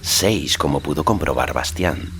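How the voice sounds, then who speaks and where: A man narrating a fantasy audiobook in a measured storytelling manner. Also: a bass voice, expressive, vocal fry, background noise. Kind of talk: scripted narration of a fantasy novel.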